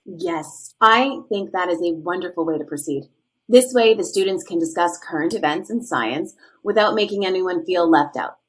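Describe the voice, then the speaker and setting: A woman's voice: on the low side, lively intonation, normal speed, slightly rough. A woman acting as an agreeable, conciliatory meeting participant in a scripted podcast drama, diplomatic in manner. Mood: approving. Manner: approving, diplomatic.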